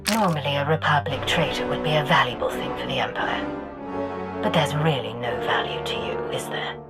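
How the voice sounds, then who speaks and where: Cold deep-voiced imperial spy, bossy and menacing, game cutscene with music. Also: female voice, brisk. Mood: taunting, controlled. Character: cold, commanding female Imperial agent, quietly menacing. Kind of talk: scripted video game cutscene acting.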